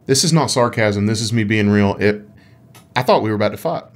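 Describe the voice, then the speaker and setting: A man's voice: fairly low pitch, fairly quick. A man co-hosting a casual gaming podcast, with a playful, dryly teasing way of talking. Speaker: podcast co-host.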